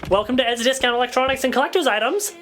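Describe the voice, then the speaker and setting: A woman's voice: high-pitched, rapid-fire, a bit bright, faint music behind. A woman voicing a geeky, smug and gullible comic-fan store clerk in an audio comedy, rambling and pedantic. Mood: brisk, geeky. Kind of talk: audio comedy drama acting.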